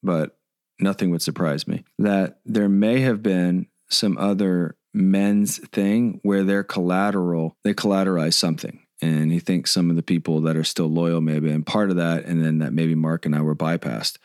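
A man's voice: low voice, fairly even intonation, moderate pace, a little mellow, clear, resonant tone, dry recording, clean studio sound. A man co-hosting a podcast, talking casually in a blunt, opinionated way. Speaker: podcast co-host. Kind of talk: casual conversational podcast discussion.

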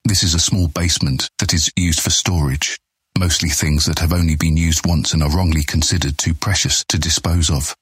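An imposing, solemn servant of a thieves' guild, played by a man in a fantasy audiobook. The voice is extremely deep and very husky, with a bone-dry sound. The speech is formal, courteous and understated. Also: steady tone, normal speed, dry recording, heavily acted. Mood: dryly assured. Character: tall, ominous, solemn deputy of a thieves' guild, deferential. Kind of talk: fantasy audiobook character acting.

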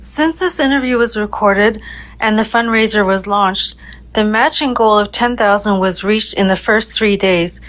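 Woman host, middle-pitched, reads intro then cues guests, lo-fi sound. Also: normal speed. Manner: scripted intro, then soft prompting questions. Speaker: podcast host. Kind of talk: interview hosting.

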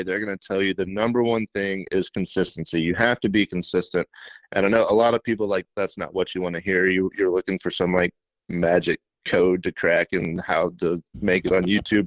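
A shy young man from middle Tennessee, a fishing YouTuber, answering interview questions in a self-described monotone with many fillers. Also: sits low, no room sound, muffled recording. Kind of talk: casual interview.

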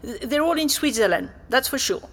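An actress playing a helpful, slightly flustered exhibition guide, speaking politely and hesitantly in naturalistic audio drama dialogue. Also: female voice, high voice, lively intonation, a little fast, a bit bright, exaggerated voice. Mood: uneasy, hesitant. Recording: long hall-like reverb.

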